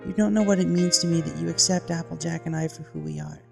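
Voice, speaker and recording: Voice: medium pitch, steady tone, quick pace, creaky, big-room sound, music in the background. A narrator voicing an earnest young female pegasus reading out heartfelt storybook letters. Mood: earnest, reconciling.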